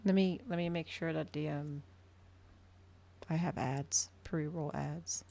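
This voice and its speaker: A woman's voice: deep voice, fairly even intonation, takes it slowly, creaky, warm tone. A woman livestreamer chatting casually to her viewers, rambling, trailing off and thinking aloud. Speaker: livestreamer hosting an ASMR and gaming channel.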